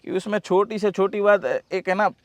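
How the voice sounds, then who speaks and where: A man's voice: high-pitched, flat delivery, normal speed, no echo. A man giving earnest, agreeable advice as a guest in casual conversation, repeating phrases for emphasis. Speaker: interview guest.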